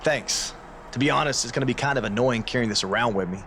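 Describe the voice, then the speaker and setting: An actor playing a smug veteran wrestler in a video game, talking down casually and condescendingly. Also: male voice, medium pitch, fast talker, fairly bright tone, slight background noise, natural voice. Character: smug veteran male wrestler belittling a rookie. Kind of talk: backstage dialogue in a video game.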